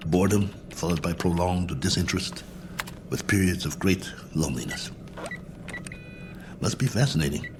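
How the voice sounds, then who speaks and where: An actor playing a lonely, bored astronaut colonel in a radio drama, speaking in a wry, musing, self-mocking manner. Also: male voice, deep voice, moderate pace, pauses often, background noise. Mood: wry, wistful. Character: lonely, bored male astronaut colonel, lone probe pilot. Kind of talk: radio drama acting, spoken log entries.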